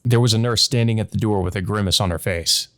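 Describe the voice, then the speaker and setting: A man's voice: on the low side, fast talker, fairly bright tone. A man narrating a visual novel as a let's play host, reading aloud and adding hesitant commentary of his own.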